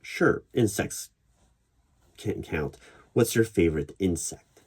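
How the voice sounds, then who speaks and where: A man playing an interviewer in an ASMR job interview roleplay, putting probing questions in a measured way. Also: sits low, animated, a little mellow. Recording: clear background noise. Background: computer keyboard typing and clicks.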